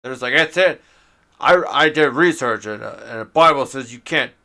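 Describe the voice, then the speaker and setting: A man's voice: medium pitch, moderate pace, creaky, pushed and bright, voice pushed up. A man voicing a self-assured, half-informed hillbilly with a put-on Southern rural drawl. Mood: smug. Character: folksy male hillbilly, boastful and half-informed.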